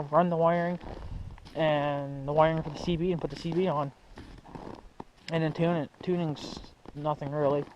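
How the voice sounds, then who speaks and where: A young man chatting casually to viewers as a vlog host, rambling and slangy as he thinks aloud. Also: on the high side. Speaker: hobbyist video host. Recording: muffled, noisy amateur recording.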